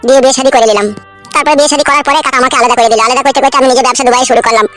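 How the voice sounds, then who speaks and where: A man's voice: very high voice, fairly even intonation, rapid-fire, sharp tone, soft background music. A man voicing a heartbroken, naive young nephew in a comedy cartoon, plaintive and crude, with a rural West Bengal accent. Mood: self-pitying.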